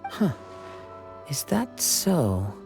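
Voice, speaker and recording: A composed young samurai from a video game. His medium-pitched voice is courteous and formal, with faint music in the background. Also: very animated, extremely slow, creaky, a little mellow, full character voice. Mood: calm, thoughtful. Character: calm, courteous young wandering samurai investigator. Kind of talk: video game character acting.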